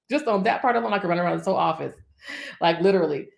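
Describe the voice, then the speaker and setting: A woman's voice: fairly low pitch, fast, creaky. A woman in her fifties, a minister, preaching a decree to online viewers in rhythmic repeated lists with chatty asides. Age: 50s. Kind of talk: livestream prophetic decree. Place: office. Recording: echoey room.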